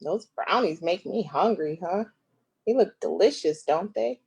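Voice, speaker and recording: Lady author reading her picture book to little kids, really slow, stretches phrases out, expressive. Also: on the low side, vocal fry, slightly rough. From Flint, Michigan, United States. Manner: expressive, child-directed, drawn-out phrasing. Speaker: guest children's author.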